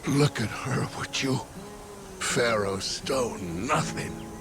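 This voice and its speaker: An actor playing a dying treasure hunter father, wistful and tender, with a breathy, halting delivery in a dramatic game cutscene. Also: mid-range voice. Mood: pleading, tender. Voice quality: breathy, gravelly. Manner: halting, labored speech. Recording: music under the voice.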